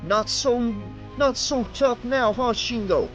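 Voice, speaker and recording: A man's voice: extremely high pitch, takes it slowly, highs cut off, faint music behind, pitched above the performer's own voice, heavily acted. A man voicing a brutal, burly male street thug with a Spanish-accented English, harsh, profane and taunting. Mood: menacing. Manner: taunting, menacing, loud.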